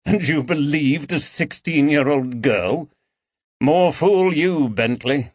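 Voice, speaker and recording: A man's voice: on the high side, slow, dry recording, dull audio, voice pushed up. A man voicing a shrewd, coldly mocking detective in an audiobook, with a dry, taunting manner. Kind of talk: audiobook character dialogue.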